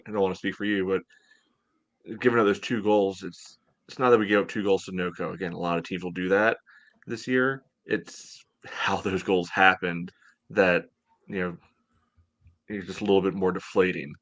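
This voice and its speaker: A man's voice: sits low, fairly even intonation, normal speed, fairly bright tone. A middle-aged American man casually analysing sports as a podcast co-host on a video call. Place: room at home, indoors. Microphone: video call from a computer.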